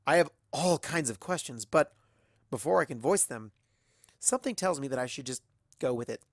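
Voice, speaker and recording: Expressive storyteller in a dry, close recording. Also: medium pitch, normal speed, dry recording. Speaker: fan fiction narrator. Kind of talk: expressive first-person story narration.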